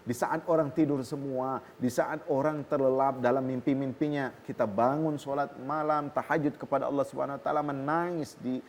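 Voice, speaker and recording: An Indonesian man preaches at an easy pace in a television studio. His voice sits at an average pitch, and faint noise hums behind it. He quotes Arabic scripture and then explains each point. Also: brisk, few pauses. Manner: calm preaching, quoting Arabic scripture and translating it. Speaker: Islamic preacher answering viewer questions. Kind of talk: religious talk on a television program.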